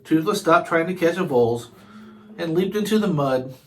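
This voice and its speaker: A man's voice: fairly high pitch, lively intonation, normal speed, poor audio. A man narrating a children's chapter book aloud, sometimes stumbling over and repeating words. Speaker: storybook reader.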